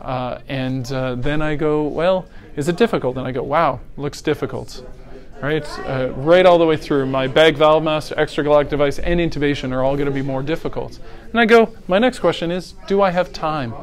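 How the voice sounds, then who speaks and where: A man's voice: on the high side, moderate pace. A middle-aged man, a paramedic educator, giving a conversational lecture that walks through scenarios and asks the audience rhetorical questions. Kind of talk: teaching lecture on airway decisions.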